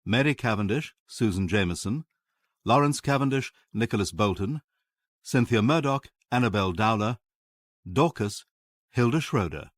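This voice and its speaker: A man's voice: on the low side, expressive, moderate pace, no echo, clean studio sound. A man reading formally as a radio drama announcer. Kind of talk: formal broadcast credits reading.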